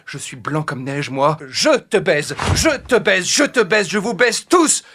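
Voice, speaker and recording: Spiteful gloating swan, acted, cartoon, slow and swooping delivery, music playing behind. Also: medium pitch, sing-song, fairly bright tone, slight background noise. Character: vain, spiteful, foul-mouthed swan gloating over revenge. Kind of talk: satirical cartoon dialogue.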